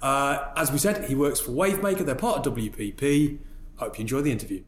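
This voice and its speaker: A man's voice: medium pitch, a little fast, roomy sound. A man from the northeast of England hosting a podcast, chatting casually and interviewing a guest with dry, wry banter. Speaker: podcast host.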